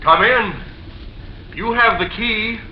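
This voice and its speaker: High-voiced, eerily obsessed widower. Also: male voice. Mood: eerie, insinuating. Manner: hesitant, insinuating, halting. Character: nervous, pleading, eerily obsessed widower tenant. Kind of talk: old-time radio suspense acting. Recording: muffled, noisy vintage radio recording.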